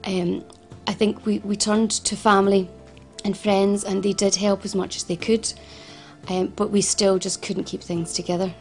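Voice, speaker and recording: A woman with a Glasgow accent tells her own story in an interview, earnest and halting, stumbling over and repeating her words. Her voice is mid-pitched and held level, with little rise and fall. Soft music plays faintly underneath. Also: flat delivery. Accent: Scottish, Glasgow. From Scotland. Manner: earnest, frequent restarts and repetitions. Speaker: founder of a financial advice service. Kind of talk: personal interview testimony.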